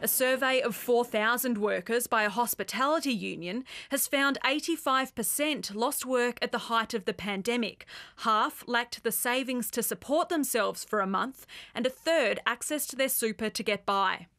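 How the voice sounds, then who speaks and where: A woman's voice: fairly high pitch, moderate pace, a bit bright. An Australian woman reporter narrating a scripted television news story.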